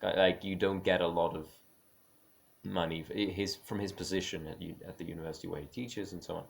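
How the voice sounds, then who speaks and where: A man thinking aloud in an unscripted monologue, halting and full of restarts, with small laughs as he offers his opinions. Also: low-pitched, fairly even intonation, vocal fry, a little hiss or hum. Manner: musing, opinionated. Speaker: speaker on creative careers.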